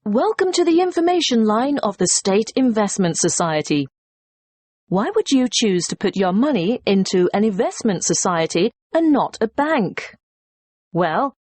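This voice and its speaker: Woman playing a lively, higher-voiced company information announcer. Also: no room sound. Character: helpful female investment society employee promoting savings services. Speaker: information line announcer for an investment society. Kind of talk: scripted recorded customer information message.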